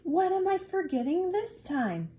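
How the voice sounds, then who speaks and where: A woman voicing a forgetful, excitable schoolboy in a storybook, exclamatory and easily exasperated. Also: very high voice, unhurried, pitched above the performer's own voice, heavily acted. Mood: puzzled. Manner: exclamatory, dramatic.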